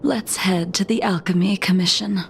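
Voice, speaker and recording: A woman voicing a cold, stoic immortal swordswoman in a video game, measured and grave with a breathy edge to the voice. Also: mid-range voice, steady tone, slight background noise, natural voice. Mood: bitter, grave. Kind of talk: dramatic game dialogue.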